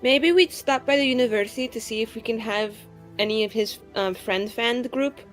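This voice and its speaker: A woman's voice: a little high, normal speed, soft background music. A woman playing a tabletop roleplaying game, improvising casual conversation as her character.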